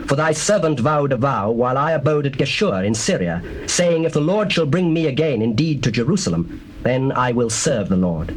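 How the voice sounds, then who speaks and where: An actor playing a proud, scheming young prince in a dramatized scripture, with a British accent and declamatory, formal speech. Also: male voice, mid-range voice, animated, moderate pace, natural voice. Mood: smooth, persuasive. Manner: declamatory, archaic formal phrasing. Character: proud, scheming young prince plotting against his father. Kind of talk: dramatized scripture, theatrical British stage delivery. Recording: noisy old cassette tape, birdsong behind.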